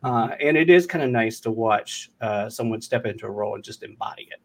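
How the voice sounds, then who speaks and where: A man's voice: on the low side, brisk, vocal fry. A man speaking as a guest in a casual interview, a little hesitant and self-effacing in how he puts things. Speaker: guest, audio drama writer and voiceover worker.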